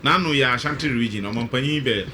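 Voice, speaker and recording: A Ghanaian man with a bright, forceful voice of ordinary depth, hosting a discussion. He puts questions and cuts in, moving between English and Twi, with some background noise around him. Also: medium pitch, fast. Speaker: host.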